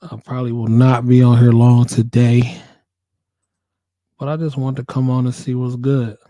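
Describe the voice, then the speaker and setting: American man, hoarse with a cold, relaxed dark tone, flat melody, slangy repetitive livestream chat, casual host. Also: mid-range voice, moderate pace, no echo. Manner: laid-back, slangy, repeats phrases. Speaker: livestream host, working nurse.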